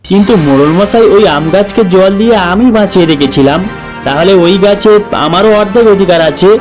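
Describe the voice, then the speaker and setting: A man plays a wronged, honest younger brother in a cartoon moral tale. The voice is high and earnest, with a pleading manner. Music is clearly heard behind. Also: fairly quick, close to an everyday voice. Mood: earnest protest.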